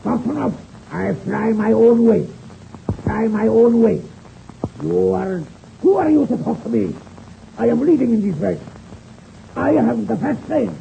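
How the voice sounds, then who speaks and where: A proud, hot-tempered Russian flyer with a high, heavily creaking voice. He talks in boastful, defiant broken English with a thick Russian accent, in old radio-serial style on a muffled recording with faint noise. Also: animated, a little slow, long pauses, warm tone, heavily acted. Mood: indignant, threatening. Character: proud, hot-tempered Russian male air-race pilot.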